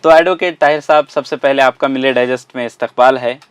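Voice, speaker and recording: A man's voice: mid-range voice, steady tone, fairly quick, clear, resonant tone, dry recording. A man hosting a news interview, asking questions in a polite, formal way. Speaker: interviewer, host.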